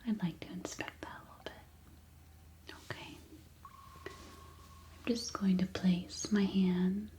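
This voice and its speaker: A woman playing a mysterious, commanding yet soothing alien cave dweller in a sci-fi ASMR roleplay, whispering breathily and deliberately, close to the mic. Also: lowish voice, little pitch movement, mellow. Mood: intent, hushed. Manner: slow, deliberate, close-up delivery. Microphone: close binaural microphone.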